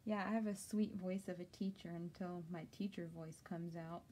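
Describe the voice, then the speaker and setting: A woman's voice: fairly low pitch, fairly even intonation, moderate pace, warm tone, slight background noise. A young woman chatting casually while she crafts, answering viewers and laughing often. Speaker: crafter doing a tumbler tutorial. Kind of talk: casual live-stream chat while crafting. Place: home craft office.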